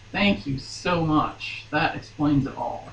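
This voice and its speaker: A woman's voice: low-pitched, sing-song, takes it slowly. A woman reading a novel aloud dramatically, breaking off for playful, mock-dramatic asides. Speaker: podcast guest reading a romance novel aloud. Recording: dull, roomy, distant mic, faint noise.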